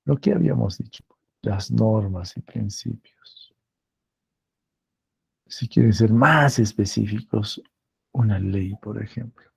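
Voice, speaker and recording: Slow, gravelly Peruvian professor. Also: male voice, on the low side, dry recording. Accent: Peruvian Spanish. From Peru. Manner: slow, deliberate, repeats phrases for emphasis. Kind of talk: lecture.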